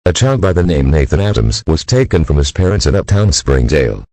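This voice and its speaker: A man's voice: extremely low pitch, very animated, brisk, darkish tone, no echo. A man reading a scripted emergency broadcast announcement in a formal, stiff delivery as an alert announcer.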